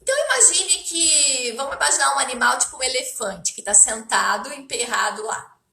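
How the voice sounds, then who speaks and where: A Brazilian woman speaking as a therapist on a livestream, explaining spiritual self-help ideas in a halting way, with rhetorical questions and check-in tags. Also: high voice, animated, creaky, sharp tone, echoey room. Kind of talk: spiritual self-help talk to live viewers.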